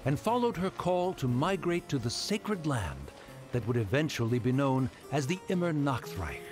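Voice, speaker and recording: A solemn storybook narrator, acted by a man, with a mid-pitched voice and lively, theatrical swells. The delivery is grandiose and reverent, like a fantasy game legend, over clear music. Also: moderate pace, close to an everyday voice.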